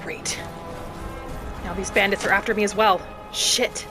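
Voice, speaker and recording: Woman acting vengeful young vampire hunter, video game roleplay, earnest, breathy asides, middle pitch, music behind. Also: steady tone, a little slow, natural voice. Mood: tense, winded. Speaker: roleplay gamer narrating in character. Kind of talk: dramatic in-character game narration.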